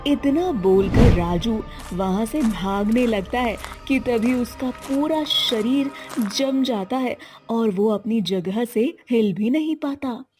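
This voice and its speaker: A woman's voice: on the high side, animated, slow, few pauses, music in the background. A woman reading a scripted children's story as its narrator.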